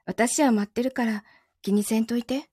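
A woman acting in a Japanese voice drama as a calm, understanding, quietly lonely girlfriend, speaking gently with a Kansai accent. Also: on the high side, moderate pace, slightly rough, no echo, studio quality. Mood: understanding, reassuring. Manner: composed, gentle, reassuring.

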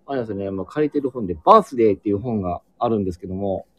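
A man in casual, reflective group chat, speaking haltingly as he searches for his words. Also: fairly low pitch, animated, no room sound. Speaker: acting student, guest in a group chat.

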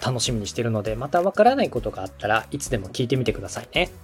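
Polite man explaining things quickly, lively pitch. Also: soft background music. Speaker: host, radio director coaching podcast making. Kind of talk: explanatory coaching conversation.

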